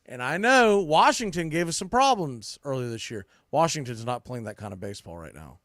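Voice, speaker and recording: A man's voice: medium pitch, big pitch swings, brisk, a bit bright, dry recording, clean studio sound. A man hosting a sports podcast, giving casual, opinionated commentary in an informal, emphatic way.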